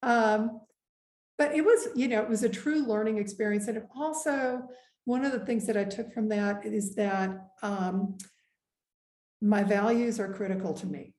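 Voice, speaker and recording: Middle-aged woman, earnest interview guest, warm mellow tone, roomy echo. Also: mid-range voice. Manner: earnest, measured, with frequent pauses and "um". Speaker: guest, executive. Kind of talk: reflective interview conversation. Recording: echoey room.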